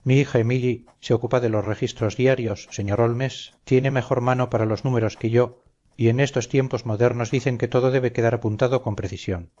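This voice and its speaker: A man's voice: mid-range voice, fairly even intonation, fairly quick, dark tone, highs cut off, close to an everyday voice. A man playing a dutiful old lighthouse keeper and veteran sailor in an audio story, earnest and deferential, speaking formally. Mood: solemn, proud. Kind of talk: audio story character dialogue.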